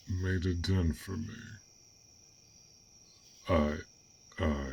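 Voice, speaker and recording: A man voicing a gentle, bashful dragon in an intimate fantasy audio roleplay, speaking haltingly in formal, old-fashioned words. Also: deep voice, creaky, slight background noise, full character voice. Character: fearsome but gentle, bashful, curious male dragon. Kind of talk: roleplay audio acting, intimate one-sided dialogue.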